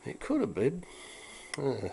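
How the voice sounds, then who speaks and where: A man's voice: mid-range voice, wildly swooping pitch, takes it slowly, vocal fry, warm tone, slight background noise. A middle-aged British man chatting casually as a hobbyist demonstrator, rambling in a dry, wry, musing way. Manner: wry, dryly humorous, musing. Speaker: vintage audio hobbyist and repairer presenting.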